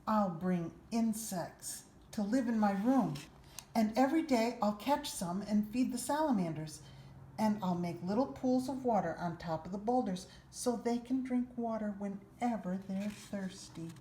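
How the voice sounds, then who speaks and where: Storybook boy, played by a woman: young, earnest, dreamy enthusiast, unhurried delivery, roomy sound. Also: medium pitch, expressive, dark tone, pitched above the performer's own voice, natural voice. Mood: eager, earnest. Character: eager, imaginative young boy who loves nature. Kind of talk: children's storybook read-aloud. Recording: roomy echo, faint background noise.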